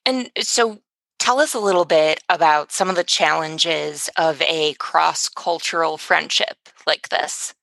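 A woman's voice: low voice, unhurried, creaky, a bit bright, dry recording. A woman hosting an interview, putting questions in a conversational style. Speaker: interviewer, host.

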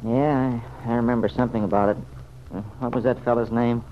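A man's voice: medium pitch, fairly even intonation, fast talker, natural voice. An actor playing a plain-spoken, good-hearted American regular guy with a folksy, slangy manner in an old-time radio serial. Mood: doubtful. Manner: folksy, slangy, hesitant at times. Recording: dull, noisy vintage radio recording.

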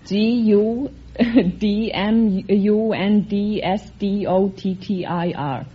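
A woman from Iceland, a quiz show contestant who answers softly and carefully and laughs easily. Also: mid-range voice, steady tone, takes it slowly, breathy edge. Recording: dull old radio broadcast with faint hiss.

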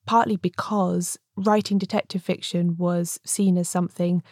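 A woman who makes a podcast, explaining a subject in a measured way during an interview. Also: fairly low pitch, level tone, a little mellow. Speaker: podcaster on crime fiction.

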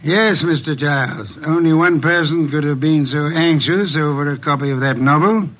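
An actor playing a shrewd, self-satisfied detective boss in a 1950s radio drama, speaking in a measured, articulate, dry manner. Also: male voice, a little high, a bit bright, close to an everyday voice, low-quality recording. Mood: triumphant, dry.

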